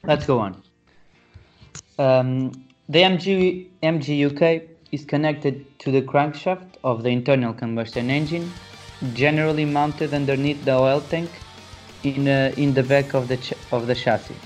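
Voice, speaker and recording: A man's voice: medium pitch, a little slow, faint music behind. A man with a Portuguese accent, a native Portuguese speaker, carefully reading a prepared technical explanation aloud with small hesitations.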